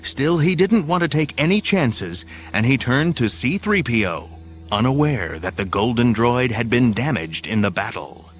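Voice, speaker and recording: A man's voice: medium pitch, expressive, moderate pace. A man narrating an adventure audio drama in a scripted, dramatic storytelling style. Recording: music behind the narration.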